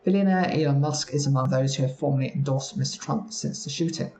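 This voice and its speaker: A man reading news stories aloud at a steady pace, sometimes stumbling over words. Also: mid-range voice, quick pace, roomy sound. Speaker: news reader.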